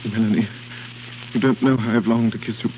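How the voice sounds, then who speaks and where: Actor as quick-spoken lovesick young lord, tinny radio. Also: male voice, fairly low pitch, fairly even intonation, natural voice. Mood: lovesick, tearful. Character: lovesick young English lord, earnest, emotional, polite. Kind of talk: 1940s-50s radio drama acting. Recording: tinny, hissy old radio broadcast.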